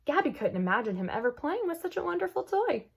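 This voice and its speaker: A woman reading a children's chapter book aloud as an expressive storyteller. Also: high voice, fast, creaky.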